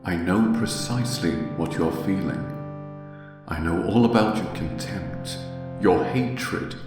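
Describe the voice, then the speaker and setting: Male actor as secretly sympathetic, inscrutable official for audiobook drama; unhurried, knowing, distant and heavily reverberant. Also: low voice. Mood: calm, conspiratorial. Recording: very echoey, distant, music behind.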